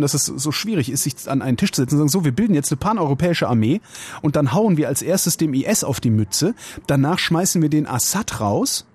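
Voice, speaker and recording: A man's voice: on the high side, darkish tone, husky. A man hosting a late-night radio call-in show, musing aloud and throwing out provocative, opinionated questions. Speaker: radio host.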